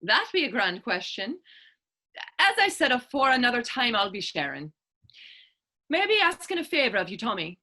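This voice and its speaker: A woman's voice: a little high, very animated, normal speed, pauses often, bright, forceful tone, full character voice. A woman playing Hannah, a spirited, determined Irish actress and patriot, in staged readers theater dialogue with a coaxing, earnest lilt.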